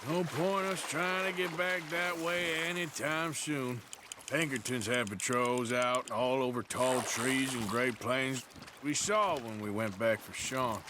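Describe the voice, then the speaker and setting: Actor as a gruff, slow-talking American gunslinger. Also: male voice, mid-range voice, steady tone, lightly acted. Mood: weary. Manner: dry, laconic, folksy. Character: gruff, world-weary male outlaw gunslinger. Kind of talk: cinematic western game acting. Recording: very loud watery boat noise behind. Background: water and boat sounds.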